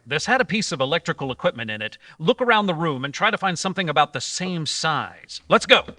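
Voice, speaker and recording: A man playing an earnest, authoritative hero of an old-time radio adventure, explaining things and giving brisk orders. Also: fairly high pitch, big pitch swings, sharp tone. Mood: brisk, commanding. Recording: live stage recording with background noise.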